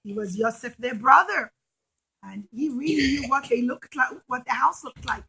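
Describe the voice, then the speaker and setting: High-pitched woman storyteller for young children. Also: moderate pace, creaky, pushed and bright, husky, poor audio. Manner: simple, repetitive, emphatic phrasing.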